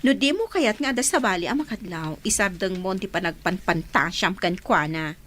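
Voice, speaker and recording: A woman acting a nosy, teasing big sister in a radio drama, animated and needling. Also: medium pitch, normal speed.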